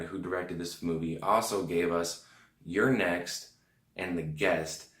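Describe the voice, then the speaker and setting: A man with a lowish voice, a little hoarse from a cold, chats to his viewers as he reviews a film. His voice carries around an echoey room. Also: fairly bright tone. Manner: chatty, direct address to viewers. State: hoarse, under the weather. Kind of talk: casual film review to camera.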